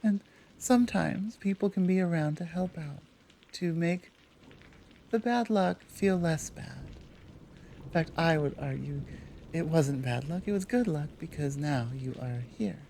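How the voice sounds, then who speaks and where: A woman playing a gentle, self-assured Amazon rescuer in an intimate ASMR roleplay, speaking softly with deliberate pauses. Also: low-pitched, lively intonation, gravelly, a little hiss or hum, full character voice. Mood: gentle, reassuring. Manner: soft, unhurried delivery with deliberate pauses. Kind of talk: intimate ASMR roleplay, one-sided conversation.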